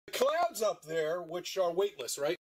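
Older man, husky and high-pitched, rambles sarcastically from a car seat. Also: big pitch swings, unhurried. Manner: meandering, repeats himself, sarcastic. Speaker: flat earth video maker. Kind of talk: rambling monologue. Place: inside a parked car.

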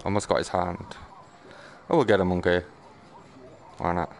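A man's voice: low voice, level tone, moderate pace, vocal fry, over loud music. A man giving casual live game commentary, slangy and sweary, muttering to himself and breaking into exclamations. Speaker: game streamer.

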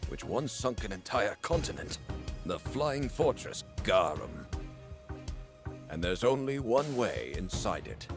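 An actor playing a grizzled, resolute old resistance leader in a video game, solemn and emphatic. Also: male voice, mid-range voice. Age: older. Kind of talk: dramatic video game cutscene acting.

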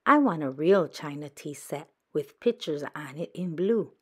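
A woman's voice: low-pitched, expressive, measured pace, breathy edge, exaggerated voice. A woman voicing an earnest, trusting young girl badger in a picture book, speaking plainly. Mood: earnest, wistful. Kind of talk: children's picture book read-aloud.

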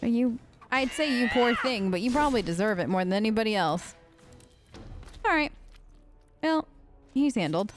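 High-voiced chatty woman role-playing a game. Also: lively intonation, normal speed, pauses often, soft background music. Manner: chatty, sarcastic. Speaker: gaming video host. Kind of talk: in-character role-play game commentary.

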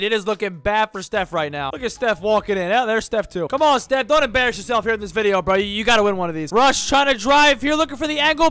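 A young man with a hoarse, raspy voice hosting a gaming channel, giving excitable play-by-play commentary at a studio mic and shouting at the big plays. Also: very high-pitched. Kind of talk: energetic play-by-play game commentary. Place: home streaming room with green screen. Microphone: large studio mic on boom, headphones.

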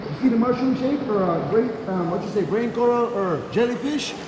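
A playful man working as a cave tour guide, teasing guests in repetitive, joking patter. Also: expressive. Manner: playful, teasing, repeats himself, often addresses people as Mama. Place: inside a dark river cave on the water. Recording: noisy echoing cave, rushing water rumble.

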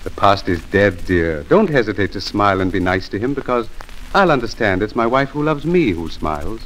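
An actor playing a genteel, complacent country husband, polite and condescending, in a 1940s-style radio drama. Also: male voice, lowish voice, normal speed, rough recording. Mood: soothing. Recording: old radio recording with steady hiss.

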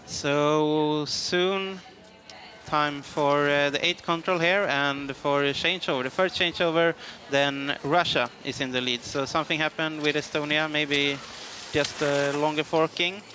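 A man's voice: fairly high pitch, fairly even intonation, measured pace, pushed and bright, slight background noise. A man commentating live on a ski race in English, hesitant and full of fillers. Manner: hesitant, many fillers, non-native English.

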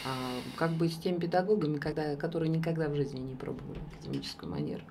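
A woman's voice: low voice, sing-song, rapid-fire, darkish tone, slightly rough. A woman in her thirties hosting a casual radio interview, speaking conversationally. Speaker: radio show host, voice coach. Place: small radio studio. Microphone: studio condenser microphone.

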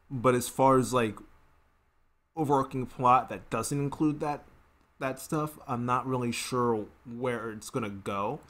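A man's voice: medium pitch, a bit bright. A man in his twenties giving casual reaction commentary as a video host, rambling and often circling back to rephrase. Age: 20s. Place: small indoor room at home.

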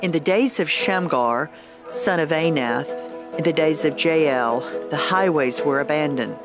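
A woman's voice: sits low, a little slow, over music. A woman playing a commanding older prophetess in a biblical radio drama. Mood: solemn.

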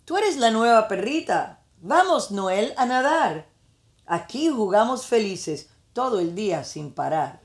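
A woman's voice: on the high side, very animated, unhurried, echoey room. A woman voicing friendly, playful little pond fish in a storybook.

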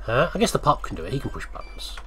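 A man commentates casually on a video game, thinking aloud in a rambling way. His pitch swings up and down in an animated fashion. A faint layer of game ambience noise sits behind his voice. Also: fast. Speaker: gamer commentating on playthrough.

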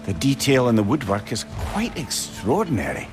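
An actor playing a wry, learned old talking head with a Scottish accent, dry and chatty. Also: male voice, medium pitch, huge pitch swings, moderate pace, loud music behind the voice.

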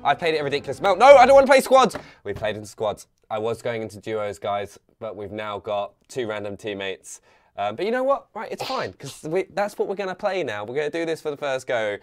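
A young man commentating a live game stream on a headset, chatting, reading viewer messages aloud and getting excited in bursts. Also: medium pitch, a little fast, faint music behind.

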